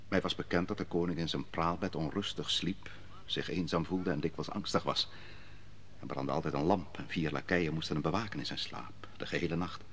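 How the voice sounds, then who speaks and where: An actor playing the prophet Daniel in an old radio drama, telling his story in a measured, solemn way. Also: sits low, fairly bright tone, a little hiss or hum. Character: prophet Daniel, a calm, grave advisor. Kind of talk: 1950s-60s radio drama acting.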